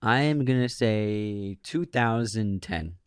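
A man co-hosting a casual movie podcast, reading a sponsor spot briskly and joking with his co-hosts. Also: lowish voice, animated, clean tone, no room sound, studio quality. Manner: reads the sponsor spot briskly, then jokes and laughs. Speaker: podcast co-host.

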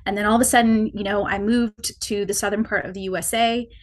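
Young female guest, reflective personal storytelling, middle-pitched everyday voice. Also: steady tone, fast talker. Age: young adult. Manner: reflective, many likes and restarts. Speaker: podcast guest.

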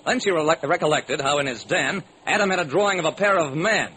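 A man's voice: on the high side, brisk, sharp tone. An actor playing a wry private detective who narrates his case in theatrical rhyme, in old-time radio style. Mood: brisk storytelling. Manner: theatrical, sing-song rhyming delivery. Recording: noisy vintage radio recording.

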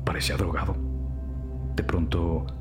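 A man's voice: low voice, level tone, normal speed, over music, close to an everyday voice. An actor playing a troubled, defensive murder suspect in an audio crime drama, confessing and pleading his case. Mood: somber confession. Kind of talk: dramatic audio fiction dialogue.

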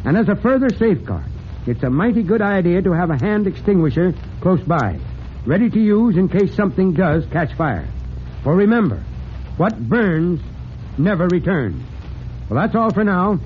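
Commanding old-radio fire chief, muffled recording. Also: male voice, high-pitched, very animated, normal speed, a little hiss or hum. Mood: friendly, instructive. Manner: authoritative, folksy, clipped orders. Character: fire chief, steady, brisk, commanding, fatherly man. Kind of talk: old-time radio drama acting.

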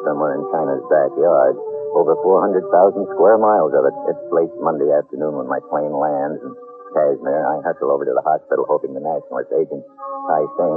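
An actor playing a tough, wry government agent in an old radio drama, with clipped deadpan delivery. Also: male voice, a bass voice. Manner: clipped, hard-boiled, deadpan. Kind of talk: 1940s-50s radio drama acting, first-person narration. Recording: muffled old radio recording, background music.

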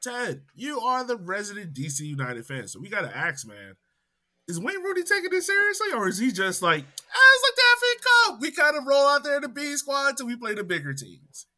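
High, bright, animated man. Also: fairly quick. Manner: animated, playful questioning. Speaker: podcast co-host. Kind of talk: casual chat.

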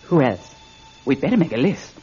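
An actor in a radio drama playing a weak-willed, fretful brother who is easily bossed around. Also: male voice, fairly high pitch, sing-song, normal speed, dark tone, dull audio, faint music behind. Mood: nervous, reluctant. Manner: whiny, fretful, protesting.

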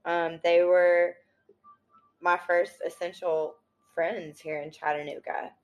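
A woman, chatting in a loose, slangy way and dropping "yo" and "like" everywhere. Her voice sits on the lower side for a woman. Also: slow. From Tennessee. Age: 30s. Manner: slangy, animated, peppered with "like" and "yo". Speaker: thrift and sewing guest. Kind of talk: casual podcast conversation.

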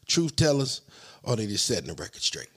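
A man's voice: fairly low pitch, sing-song, fairly quick, husky. A man hosting a casual interview, speaking in an easygoing, slangy way with brief agreeing interjections. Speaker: podcast interviewer.

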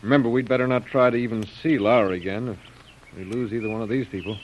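An actor playing a worried, earnest aide on a jungle trek, speaking carefully and plainly in 1930s radio adventure style. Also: male voice, medium pitch, fairly even intonation, quick pace, natural voice. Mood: anxious, urging. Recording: muffled, noisy old radio recording.

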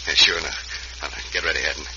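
An actor playing a gruff bandit leader in a radio western, giving curt, commanding orders. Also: male voice, extremely low pitch, fairly even intonation, quick pace. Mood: menacing, tense. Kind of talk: old-time radio western acting. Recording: muffled, very noisy vintage radio recording.

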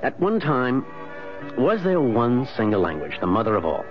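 An actor playing an anguished, scholarly husband in a 1970s radio drama, speaking emphatically and halting to repeat himself. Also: male voice, medium pitch, big pitch swings, over music, natural voice. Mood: eager. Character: Spencer, anguished, insistent husband with scholarly dreams. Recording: muffled vintage radio broadcast.

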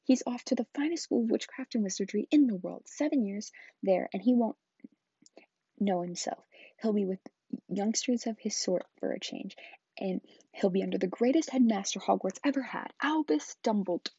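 A woman reading aloud, voicing a big gruff, kindly male giant groundskeeper with folksy, rough country speech. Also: fairly low pitch, little pitch movement, a little fast, warm tone, no room sound, dull audio. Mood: indignant.

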